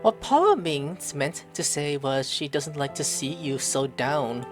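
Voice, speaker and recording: A woman's voice: sits low, expressive, measured pace. A woman hosting a gaming video, giving playful, chatty commentary and reading dialogue aloud. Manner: playful, chatty asides to the game. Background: game music.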